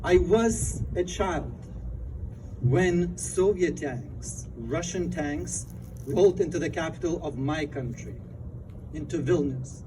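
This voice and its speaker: A man's voice: a little high, slow pace, recorded from a distance, background noise. A man from Lithuania with a Lithuanian accent, giving an impassioned speech at an outdoor rally with fervent, emphatic phrasing. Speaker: rally speaker.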